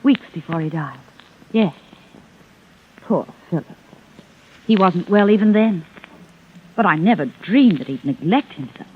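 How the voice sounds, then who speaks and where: A woman's voice: fairly low pitch, lively intonation, a little slow, long pauses, slightly rough, narrow, tinny audio. A woman playing a self-assured young actress in a 1950s radio drama, coy and insinuating with pointed hesitations. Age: young adult. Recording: thin noisy vintage radio recording.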